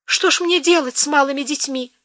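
A woman's voice: mid-range voice, big pitch swings, moderate pace, fairly bright tone, no room sound, studio quality. A woman voicing a grumbling village housewife with a whiny, scolding manner. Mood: tearful.

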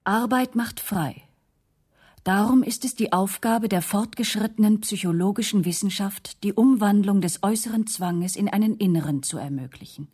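Casting: woman as detached slogan-reciting narrator, radio play; dark, breathy voice, declamatory. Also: mid-range voice, normal speed, lightly acted. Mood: cool, detached. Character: cool female narrator reciting maxims and slogans. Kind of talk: scripted radio drama narration.

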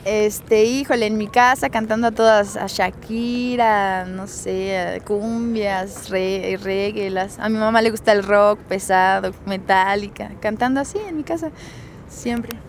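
A woman's voice: mid-range voice, a little slow, slight background noise. A young Mexican woman, a singer guest, chatting casually and bluntly in a radio interview, laughing often. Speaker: musician guest.

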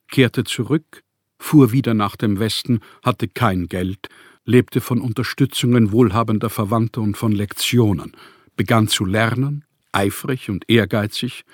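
Male actor as wry proud writer, radio play monologue, measured formal phrasing, husky voice, dry close studio sound. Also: medium pitch, no room sound, natural voice. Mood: wry, bitter. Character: young-hearted Austrian writer recalling his life, wry, bitter, proud.

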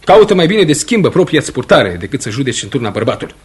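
Stern farmer husband, widely swinging intonation. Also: male voice, medium pitch, very animated, slightly rough. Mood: angry, defensive. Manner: brusque, defensive, emphatic. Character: stern, proud farmer husband, quick to anger. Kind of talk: Romanian radio theatre acting.

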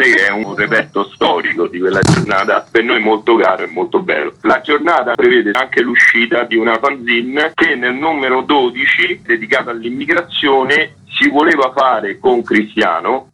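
A man's voice: on the low side, pushed and bright, slightly rough, low-quality recording. A man who organizes a community event, earnestly explaining it as a guest in a radio interview.

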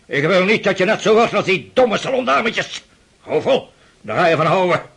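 A man's voice: high-pitched, big pitch swings, moderate pace, vocal fry, fairly bright tone, husky, exaggerated voice. An actor playing a stern, sarcastic older aristocratic father in a Dutch radio drama, brusque, impatient and mocking. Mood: scornful, lecturing. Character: stern, sarcastic aristocratic father drilling his daughter in mathematics.

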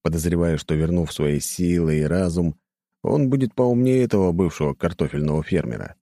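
Male narrator for audiobook fiction: low, velvety voice, close dry recording, scripted first-person storytelling. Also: a little fast, mellow, no room sound.

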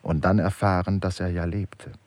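A man narrating a literary radio play in a grave, measured and dramatic manner. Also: low voice, flat delivery, a little mellow, no room sound.

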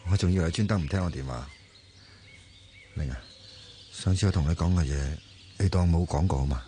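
A man's voice: deep voice, a little fast, mellow, a little hiss or hum, natural voice. An actor with a Hong Kong accent playing an easygoing, trusting young man in a radio drama, casually brushing things off. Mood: apologetic, casual. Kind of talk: Cantonese radio drama acting.

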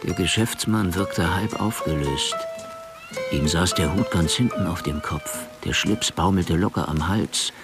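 A man's voice: low voice, unhurried, over music. A man narrating a crime radio play in a scripted, literary storytelling style.

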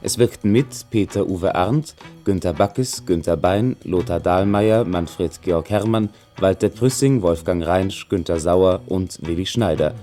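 A man's voice: deep voice, measured pace, few pauses, dark tone. A man reading closing credits as a radio announcer in a formal scripted style. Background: music.

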